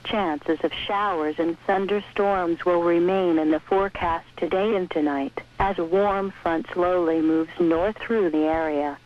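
A synthetic American female voice reading a scripted weather bulletin in a measured, impersonal way. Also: lowish voice, fairly even intonation. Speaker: weather radio announcer. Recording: dull lo-fi weather radio broadcast.

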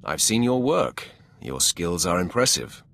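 An actor playing a commanding, imperious Sith lord in a video game, with a gravelly voice and formal, menacing delivery. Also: male voice, low-pitched, sing-song, a bit bright, full character voice. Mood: commanding, composed. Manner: imperious, formal, measured wording. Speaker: military commander, player character. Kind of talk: video game dialogue acting. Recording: music under the voice.

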